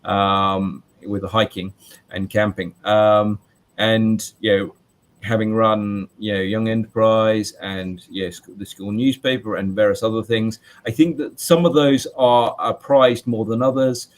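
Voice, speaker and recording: A man in his late forties, a teacher, who talks in a loud voice and answers interview questions in a chatty way with many fillers and restarts. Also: fairly low pitch, fairly even intonation, measured pace. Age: 40s.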